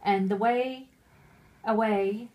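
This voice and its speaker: Drunk middle-aged woman, slow and muddled. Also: medium pitch, steady tone, darkish tone. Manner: muddled, repeating and stumbling over words. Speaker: mother reading a Christmas poem aloud. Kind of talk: casual, halting read-aloud with chatty asides.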